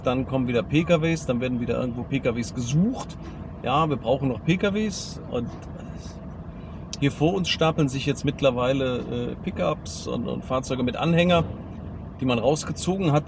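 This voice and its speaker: A man's voice: medium pitch, brisk, background noise. A middle-aged German man vlogging a trip, giving wry, chatty commentary to a handheld camera. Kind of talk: casual travel vlog. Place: camper van on a ferry deck and car lot. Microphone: handheld camera at arm's length.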